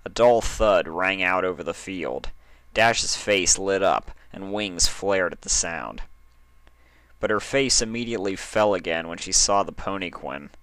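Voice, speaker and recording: A man narrates fiction from a script. His voice is low but bright and crisp-edged, and the recording is dry, with very little room sound. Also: measured pace, no room sound. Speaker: fan fiction reader, narrator.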